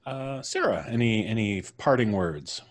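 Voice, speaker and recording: A man's voice: on the low side, expressive, unhurried. A man hosting a casual live-stream chat in a wry, punning manner. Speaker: host.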